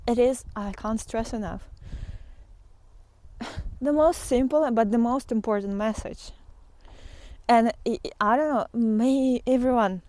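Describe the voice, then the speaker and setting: A woman giving an earnest, unscripted spiritual talk as a teacher, speaking haltingly with pauses and repetitions. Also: a little high, mellow. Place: outdoors in the wind. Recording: windy outdoor recording with clear noise.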